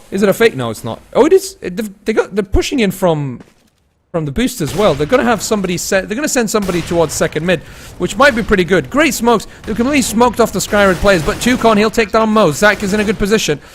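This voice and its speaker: Young guy casting a pc game match, kinda high voice, tired chatter then hyped calls. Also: a little hiss or hum. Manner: laid-back and tired between plays, rising to excited fast calls in action. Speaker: esports caster. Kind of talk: live esports commentary mixed with chatting to viewers.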